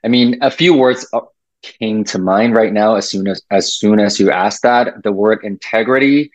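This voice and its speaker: Guy from taiwan on a podcast, lowish voice, kinda muffled dry call audio, lots of um and uh. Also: moderate pace, dry recording. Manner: reflective, with frequent fillers and restarts. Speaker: podcast guest. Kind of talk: casual interview talk.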